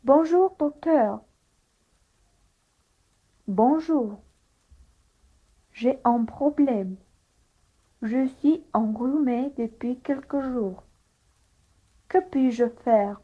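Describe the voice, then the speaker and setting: A woman's voice: high-pitched, extremely slow, pauses often. A woman carefully reading a learner dialogue, taking both the patient and the doctor parts. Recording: tinny phone-like sound.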